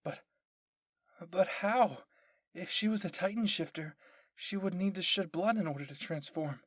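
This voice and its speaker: A man's voice: high-pitched, measured pace, a bit bright, no room sound, clean studio sound, voice pushed up. A man voicing an anxious, questioning young male soldier in an audio story, urgent and puzzled.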